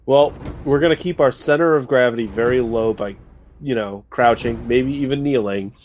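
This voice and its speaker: A man's voice: medium pitch, moderate pace, very noisy. A man chatting casually as a player in a tabletop game, with a wry, joking manner.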